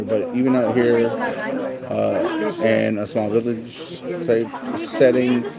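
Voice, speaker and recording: A man in his thirties chatting casually as a vlogger, unhurried and hesitant with lots of ums and uhs. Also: fairly low pitch. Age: 30s. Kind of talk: casual chat about living costs abroad. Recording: loud crowd chatter in a large hall.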